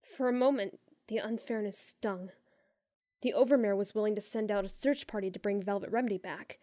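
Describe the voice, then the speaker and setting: Woman, audiobook narrator, first-person story, measured, hushed in tense moments, dry close sound. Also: mid-range voice, dry recording.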